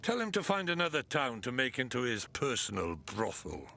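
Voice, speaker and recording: An actor playing a stern, weary military commander in a fantasy video game, curt, authoritative and sardonic. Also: male voice, mid-range voice, big pitch swings, normal speed, gravelly, sharp tone, full character voice. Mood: stern, businesslike.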